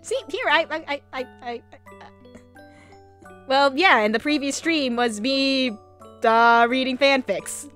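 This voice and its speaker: A woman's voice: high voice, unhurried, pauses often, fairly bright tone. A giggly, flustered woman streamer reading her own fan fiction aloud, stumbling over words and breaking into chatty asides. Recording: music box music behind.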